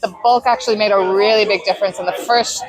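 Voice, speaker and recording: A woman's voice: medium pitch, fairly even intonation, quick pace, low-quality recording. A woman in her thirties with a Canadian accent, chatting animatedly as an interview guest and laughing often. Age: 30s. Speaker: guest adventure athlete. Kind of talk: casual interview chat. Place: festival marquee.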